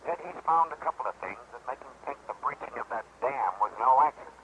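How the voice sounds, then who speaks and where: An actor playing a matter-of-fact insurance man in an old radio drama, deliberate and businesslike. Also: medium pitch, lively intonation, close to an everyday voice. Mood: grave. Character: businesslike American insurance man, male, concerned. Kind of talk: 1950s radio drama acting. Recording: muffled, slightly noisy old radio recording.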